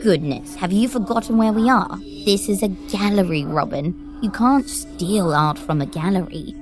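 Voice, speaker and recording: An actress playing a composed, gracious woman who gently guides a grieving poet, speaking with formal, gently wry phrasing in an audio drama. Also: medium pitch, animated, normal speed, lightly acted. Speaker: Victoria. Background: music score.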